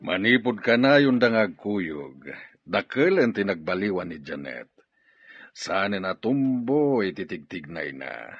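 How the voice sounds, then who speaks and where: A man's voice: deep voice, very animated, a little slow, slightly rough, soft background music, full character voice. A man acting a gossiping young male friend in an Ilocano audio drama. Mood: teasing, animated. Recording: dull, lo-fi recording.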